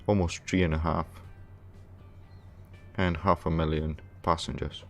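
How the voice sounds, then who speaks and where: A man from Romania hosting a casual gaming livestream, thinking aloud in a halting, hesitant way. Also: deep voice, steady tone, vocal fry, soft background music. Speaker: livestream host playing a game.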